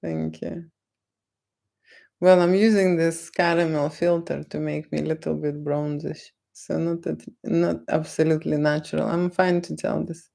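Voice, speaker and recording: A woman with a Lithuanian accent, not a native English speaker, answering viewers' questions on a livestream in a slow, lecturing way and tagging "okay?" onto her points. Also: low-pitched, flat delivery, creaky, dark tone. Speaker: photographer on a livestream. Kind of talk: live Q&A chat with viewers.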